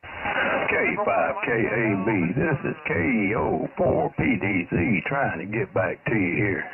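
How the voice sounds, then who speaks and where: A man's voice: fairly low pitch, lively intonation, takes it slowly, crowd noise. A man chatting casually and folksily as an amateur radio operator, heard through a radio transmission. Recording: narrow, static-filled ham radio signal.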